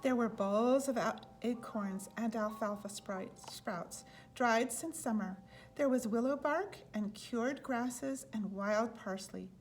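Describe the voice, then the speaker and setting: A woman narrates a children's picture book in a scripted read-aloud style. Her voice sits a little high. Soft music runs underneath. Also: slow. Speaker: storyteller.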